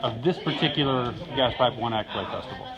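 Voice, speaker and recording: Male interviewer, medium pitch, crowd behind. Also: fairly quick, highs cut off. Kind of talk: casual interview questions. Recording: crowd chatter in the background.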